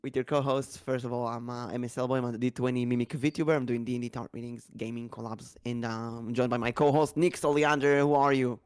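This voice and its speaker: A man hosting a casual podcast, talking in a chatty, rambling way with a slightly tired, raspy voice. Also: medium pitch, fairly even intonation, quick pace, few pauses, dry recording. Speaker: podcast host.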